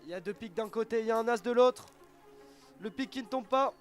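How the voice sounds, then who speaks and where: Male live commentator, very high register, excitable and exclamatory poker calls, music clearly behind him. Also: fast talker. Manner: dramatic, repetitive, exclamatory.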